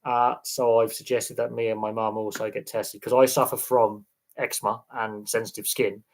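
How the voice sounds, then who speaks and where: A man talks casually to his livestream audience, rambling and halting as he restarts his sentences. His voice is a little congested from a sniffle. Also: lowish voice, level tone. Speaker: sports channel host.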